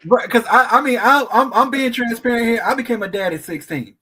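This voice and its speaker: A man's voice: very high voice, brisk, bright, forceful tone. A man in his thirties hosting a casual interview, asking questions in a candid, earnest way. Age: 30s.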